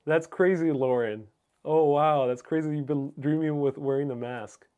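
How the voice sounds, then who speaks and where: A man's voice: fairly high pitch, moderate pace, a little mellow, smooth. A man whose first language is Spanish, hosting a livestream in a casual, musing, somewhat hesitant way as he reads and answers viewer comments. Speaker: livestream host.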